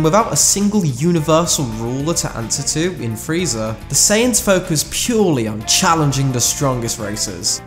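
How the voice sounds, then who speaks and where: Man narrating over prominent music. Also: medium pitch. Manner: conversational, wry asides. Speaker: video essay narrator. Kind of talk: semi-scripted fan commentary narration. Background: background music.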